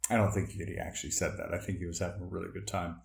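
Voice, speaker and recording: A man's voice: sits low, level tone, rapid-fire, creaky, echoey room. A young man co-hosting a podcast, teasing and swearing in casual banter. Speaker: podcast co-host. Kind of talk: casual chat.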